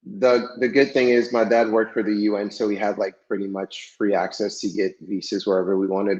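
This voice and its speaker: A man guest in a casual podcast interview, talking in a relaxed, hesitant way with many fillers, French his first language. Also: fairly low pitch. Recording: dull lo-fi sound.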